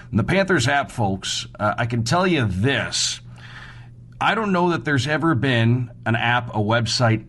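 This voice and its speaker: A man hosting a sports radio show, previewing games and reading promos in a conversational, folksy way. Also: medium pitch.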